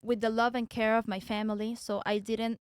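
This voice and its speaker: Young woman from Venezuela, English, hesitant with restarts, higher voice, smooth clear tone, dry close sound. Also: little pitch movement, a little fast, no echo. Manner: hesitant, searching for words, many restarts. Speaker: guest. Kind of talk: casual debate talk.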